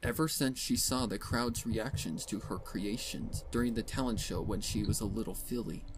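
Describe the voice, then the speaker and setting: A man narrating scripted fan fiction as a dramatic reading, solemn and measured. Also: lowish voice, background noise.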